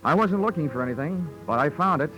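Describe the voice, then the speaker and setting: An American actor playing a dry, deadpan, hard-boiled insurance investigator narrating his case in a 1940s radio drama. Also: on the high side, fast talker, low-quality recording. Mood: wry, tense narration. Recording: old 1940s radio recording, music behind.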